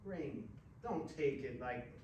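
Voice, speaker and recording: A man's voice: mid-range voice, animated, a little slow, a little mellow, lightly acted. A man playing a plainspoken, easygoing rodeo cowboy in a story reading, with a folksy manner, recorded in a roomy space. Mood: placating. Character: laconic, sweet-natured rodeo cowboy, male. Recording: roomy, distant mic with faint noise.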